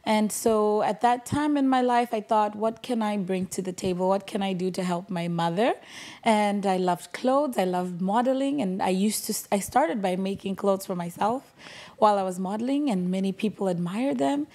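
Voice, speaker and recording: A woman's voice: mid-range voice, normal speed, warm tone. A young adult woman from Zimbabwe, a guest in a small studio interview, telling her story in a composed way. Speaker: guest, clothing designer. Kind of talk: casual interview talk.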